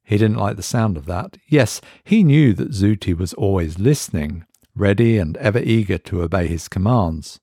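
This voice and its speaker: A man narrating a horror story in a measured, dry storytelling way. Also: fairly low pitch, animated, dark tone, breathy edge, no room sound. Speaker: narrator, reader of horror stories.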